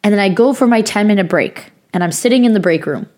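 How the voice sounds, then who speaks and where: A hoarse, sniffly young woman co-hosting a casual chat, rambling through a story with lots of fillers. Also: mid-range voice, lively intonation, fast, a little mellow. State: hoarse, recovering from lost voice; sniffly from sinus infection.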